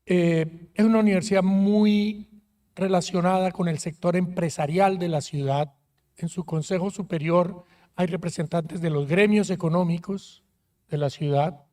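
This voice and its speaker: A man from Colombia, a university rector speaking on a conference panel in a friendly, measured way with frequent hesitations. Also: high-pitched, steady tone, a little mellow. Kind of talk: panel talk. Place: conference auditorium.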